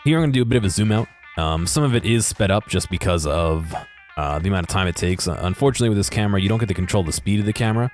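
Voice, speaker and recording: A man giving casual, unscripted commentary over game footage in a halting, explanatory way, correcting himself as he goes. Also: deep voice, expressive, darkish tone, soft background music. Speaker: video creator narrating game footage.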